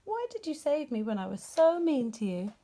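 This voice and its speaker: A woman voicing an excitable, proud little male rabbit in a children's storybook, with eager exclamations. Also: high voice, normal speed, voice pushed up. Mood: puzzled.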